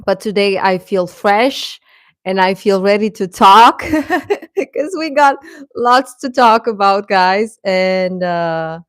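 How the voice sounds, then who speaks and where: A woman's voice: mid-range voice, expressive, slow, fairly bright tone, no room sound, studio quality. A woman hosting a livestream, chatting casually and informally with her viewers. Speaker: livestream host.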